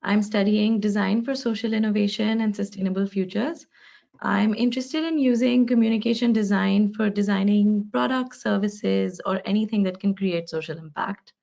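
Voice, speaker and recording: A postgraduate design student from Delhi in India speaks with a gravelly, fried edge to her voice. She talks slowly and deliberately. The sound is dull and lo-fi. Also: mid-range voice, steady tone, darkish tone. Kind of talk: webinar self-introduction. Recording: dull lo-fi video call audio.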